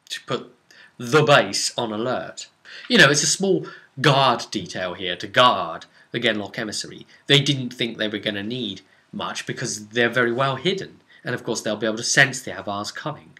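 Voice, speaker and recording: A man with a crisp, bright voice and an average pitch talks through a role-playing session as its game master. His delivery is casual and rambling, like someone telling a story to friends, with animated turns as he relays what the players did.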